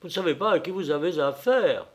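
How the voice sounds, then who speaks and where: A man's voice: fairly high pitch, expressive, brisk, pitched above the performer's own voice, lightly acted. A man voicing a stiff, pompous bank director who talks in a prim, indignant way.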